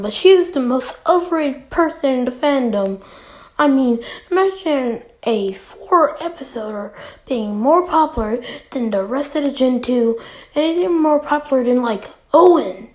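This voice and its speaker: A woman hosting a fan ranking video, giving casual, emphatic, opinionated commentary in an informal way. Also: high voice.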